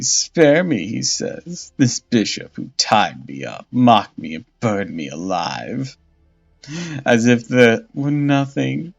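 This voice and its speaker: Vengeful undead saint woman, voiced by a man, slow cold mockery, theatrical, gravelly. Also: medium pitch, exaggerated voice. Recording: dull lo-fi audio with faint music.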